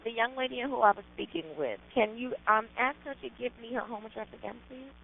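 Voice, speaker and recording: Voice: mid-range voice, fairly even intonation, quick pace, vocal fry. An emergency dispatcher on a phone call, asking questions in a hesitant, apologetic way. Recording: tinny phone line.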